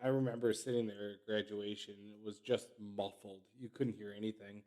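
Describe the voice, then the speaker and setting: A man with a fairly low voice co-hosts a sports podcast. His talk is casual and unscripted, with an emphatic, opinionated way of putting things. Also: fairly even intonation, brisk, a little mellow. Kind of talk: casual chat.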